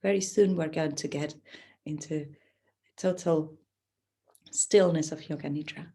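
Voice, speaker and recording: Woman, yoga teacher, lowish voice, very slow delivery, gentle, soothing guided relaxation. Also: fairly even intonation. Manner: gentle, unhurried, soothing guidance.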